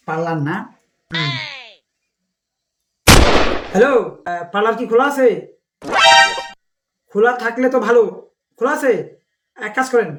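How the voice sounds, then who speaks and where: A man doing domestic comedy banter as a teasing, complaining husband. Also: sits high. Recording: very noisy home-video sound.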